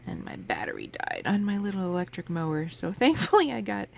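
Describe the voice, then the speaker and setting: A sniffly woman hosting a casual craft tutorial, chatting in a relaxed way as she works at her table. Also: medium pitch, brisk, gravelly. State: sniffly from a cold. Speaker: crafting channel host. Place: home craft table with a fan running. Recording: faint fan noise in background.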